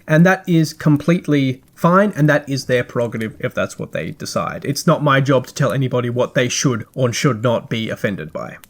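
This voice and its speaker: A man hosting a podcast, reading a scripted review in a measured, articulate way with dry, wry asides. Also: mid-range voice.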